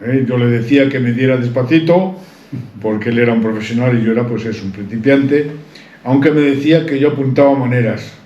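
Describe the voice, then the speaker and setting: A man's voice: mid-range voice, brisk, mellow. Old guy in his 70s, proud rambling life story, muffled lo-fi sound. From Santander, Cantabria, Spain. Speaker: interviewee. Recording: roomy, dull-sounding recording.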